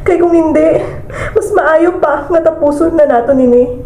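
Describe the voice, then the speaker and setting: Self-reliant young working woman, played by a female amateur, high-pitched, soft-toned, roomy noisy recording. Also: a little slow. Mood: hurt, pleading. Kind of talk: amateur student radio drama acting. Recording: echoey room, clear background noise.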